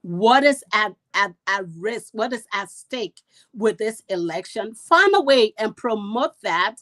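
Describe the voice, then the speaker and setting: A woman's voice: medium pitch, animated, measured pace, fairly bright tone, no room sound. A woman with a Liberian accent, speaking as a panelist and earnestly urging voters, repeating phrases for emphasis. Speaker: panelist giving advice. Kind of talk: earnest appeal in a panel discussion.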